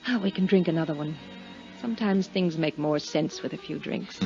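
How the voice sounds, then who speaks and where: An actress in her twenties playing a lonely young widow in a 1950s radio drama, speaking in a soft, hesitant, confiding way. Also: sits low, normal speed, soft background music. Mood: wistful. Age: 20s. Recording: muffled 1950s radio broadcast.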